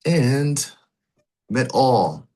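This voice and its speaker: A man hosting a podcast on a video call, upbeat and quick to stumble over his words when excited. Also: medium pitch. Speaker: podcast host. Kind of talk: casual interview hosting.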